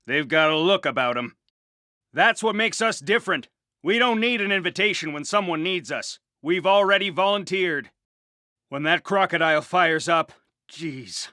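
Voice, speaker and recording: A man's voice: high-pitched, pushed and bright, no room sound, studio quality. A man playing a tough, cocky, sweary volunteer soldier in a war game, emphatic and salty. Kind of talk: video game unit voice lines.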